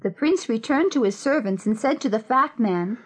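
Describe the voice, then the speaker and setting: A woman's voice: fairly high pitch, expressive, moderate pace, breathy edge. A woman narrating a dramatized fairy-tale audiobook in a scripted storytelling style.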